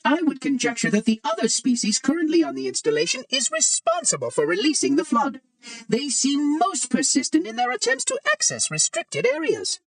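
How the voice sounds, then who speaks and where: A man's voice: extremely high pitch, wildly swooping pitch, brisk, creaky, a bit bright, no room sound, recorded from a distance, full character voice. A man playing a prim, fussy, ancient robot monitor in a video game, speaking in a formal, precise, oddly cheery way with light robotic processing. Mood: clinical, pleased. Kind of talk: video game character acting.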